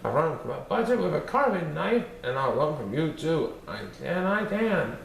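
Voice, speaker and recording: A man voicing a cocky little male gingerbread cookie with a high, taunting singsong. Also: big pitch swings, moderate pace, gravelly, reverberant, slight background noise.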